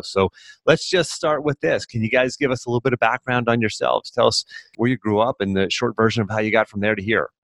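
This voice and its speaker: A man's voice: on the low side, fairly bright tone, no room sound. A man in his thirties hosting a podcast, reading an upbeat promo and intro and then interviewing his guests. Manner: enthusiastic promo delivery. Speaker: podcast host.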